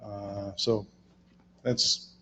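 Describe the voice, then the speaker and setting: Slow, hesitant man introducing himself. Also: on the low side, little pitch movement, bright, forceful tone, slight background noise. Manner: hesitant, self-deprecating, with restarts. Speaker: board candidate. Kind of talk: self-introduction speech.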